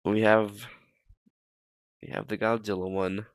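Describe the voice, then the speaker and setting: A young man with a fairly low voice reacts casually as a host ranking videos. He rambles and circles back over his own phrases. The sound is dry, with little room in it. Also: little pitch movement, measured pace, dry recording, poor audio.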